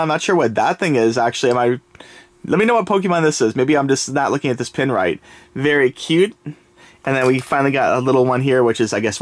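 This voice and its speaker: A man hosting a toy unboxing video, talking casually to viewers while sniffly from a summer cold, rambling with many fillers and restarts. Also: mid-range voice. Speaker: toy channel host. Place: indoors at a table.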